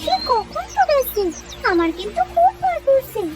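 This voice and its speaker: Tiny excitable village girl for a cartoon, super high childlike voice, music playing loud. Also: moderate pace. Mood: worried. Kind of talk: children's cartoon story dub.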